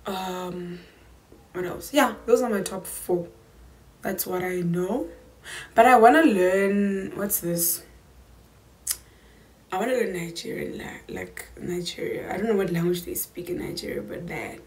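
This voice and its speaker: A woman's voice: lowish voice, a little slow, pauses often, darkish tone. A twenty-year-old woman with a South African accent, chatting emphatically to camera in a casual Q&A vlog from a small room. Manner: chatty, emphatic, slangy asides. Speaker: YouTuber.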